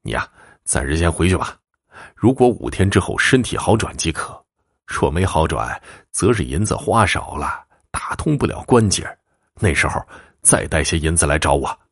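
Man as a sly, low-voiced swindling fortune-teller. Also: sing-song, normal speed, fairly bright tone, no echo, studio quality, full character voice. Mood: self-important. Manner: smooth-talking, cajoling, self-important.

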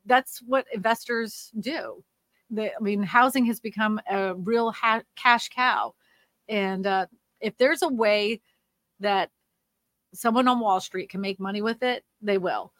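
A woman's voice: medium pitch, unhurried, a bit bright, no room sound. Chatty nasal woman, dry and close. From Louisiana, USA. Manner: chatty and rambling, with frequent fillers and restarts. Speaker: housing market livestream host. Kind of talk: casual livestream chat reading comments.

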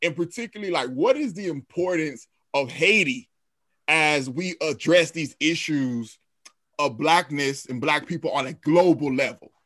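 A man interviewing a guest, jumping in quickly and eagerly with a long question. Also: on the high side, fairly bright tone. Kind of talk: asking a question.

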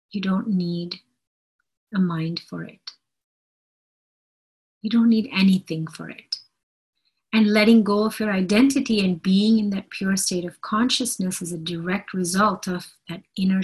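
Woman, spiritual teacher type. Breathy, husky tone, unhurried delivery, earnest and deliberate, leaning on repetition. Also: on the low side, rough recording. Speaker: spiritual teacher giving a talk. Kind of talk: slow inspirational spiritual talk.

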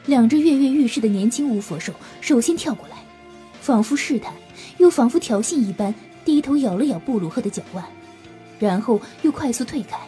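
A woman's voice: on the high side, expressive, a little fast, dark tone, faint music behind. A woman narrating a scripted audio drama as a storyteller, in a dramatic style.

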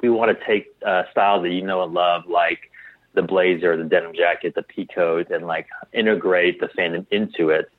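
A man's voice: deep voice, steady tone, moderate pace, no echo, phone-quality sound. A man giving casual interview answers as a company founder, thinking aloud and often repeating his words as he goes. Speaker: guest, clothing company founder.

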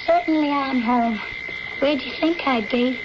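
An actress playing a devoted, needy young daughter in a radio drama, earnest, dreamy and intimate. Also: female voice, sits high, normal speed, natural voice. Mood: playful. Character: devoted, needy schoolgirl daughter narrating her memories. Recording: noisy, dull old-time radio recording.

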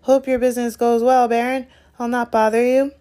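A woman's voice: a little high, unhurried, darkish tone, voice pushed up, close to an everyday voice. A woman voicing a mischievous male poltergeist from a storybook, sly and sing-song, oily in manner. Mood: grovelling, oily. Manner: taunting, sing-song, greasily obsequious. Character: mischievous poltergeist, sly wicked male, grovelling when fooled.

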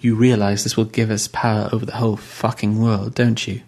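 A man's voice: on the low side, little pitch movement, brisk, darkish tone. Man playing a pious, scheming woman. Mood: cutting. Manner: pious, cutting. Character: sanctimonious, scheming woman leader.

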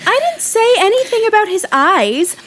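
Woman as a high-voiced, proud little schoolgirl. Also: sing-song, moderate pace, a bit bright, exaggerated voice. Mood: haughty, indignant. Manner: affected big words, gushing. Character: proud, excitable schoolgirl, dramatic and prim. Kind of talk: audio play acting.

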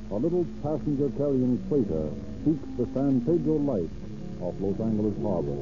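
A man's voice: medium pitch, close to an everyday voice. A man playing the grave narrator of an old radio crime drama, reading dramatic scripted narration. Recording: dull old radio recording, music behind.

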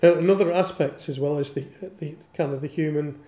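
A man's voice: on the high side, steady tone, fairly quick, mellow. A man asking conversational questions as an interviewer, recorded with a roomy, echoing sound.

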